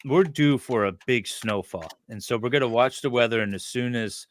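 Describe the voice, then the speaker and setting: A man's voice: mid-range voice, moderate pace, dry recording, clean studio sound. A man co-hosting a livestream, talking casually and sometimes reading aloud. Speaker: livestream co-host.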